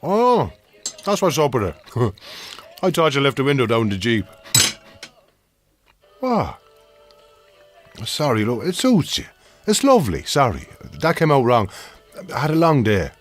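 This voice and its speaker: A man's voice: mid-range voice, wildly swooping pitch, measured pace, long pauses, soft background music. An actor playing a breezy, tactless Irish farmer who gets defensive with his wife, in a naturalistic radio drama. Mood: jokey, defensive. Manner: jokey, blundering, defensive.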